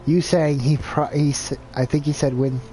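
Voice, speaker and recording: A man's voice: a little high, steady tone, moderate pace, warm tone, slight background noise, rough recording. A man streaming a video game live, chatting casually and thinking aloud in a rambling, self-deprecating way. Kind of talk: casual gameplay commentary.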